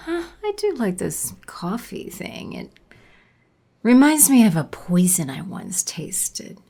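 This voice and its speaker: Slow, creaky-voiced villainess, acted by a woman. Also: medium pitch, very animated, dark tone, exaggerated voice. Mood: smug, languid. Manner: slow, languid, drawling. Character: smirking, sinister villainess, worldly and unbothered. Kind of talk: audio drama acting.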